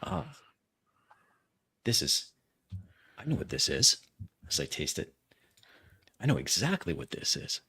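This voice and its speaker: A man improvising in character as a tabletop roleplaying player, deliberately mulling over his phrases aloud. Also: deep voice, wildly swooping pitch, vocal fry, pushed and bright, husky.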